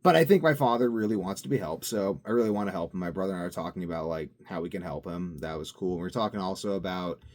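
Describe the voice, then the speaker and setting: A man's voice: deep voice, fast, few pauses, darkish tone. A young man in a casual daily video diary, rambling and upbeat with lots of fillers and restarts. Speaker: vlogger.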